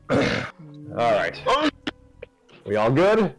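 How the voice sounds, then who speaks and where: A man's voice: medium pitch, sing-song, extremely slow, faint music behind. A man chatting casually with fellow readers on a story stream. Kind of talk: casual chat.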